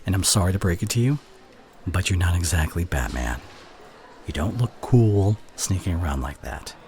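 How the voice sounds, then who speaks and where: Male actor as exasperated college student, low-pitched with lively swings, halting and teasing, ASMR roleplay, some background noise. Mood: exasperated, defensive. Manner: halting, sighing, sarcastic. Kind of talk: ASMR roleplay acting, one side of a conversation. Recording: clear background ambience noise.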